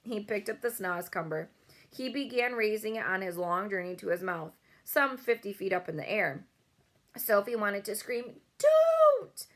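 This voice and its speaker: Female storybook reader, medium pitch, narrating for children. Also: normal speed, a bit bright. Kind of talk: children's read-aloud narration with character voices.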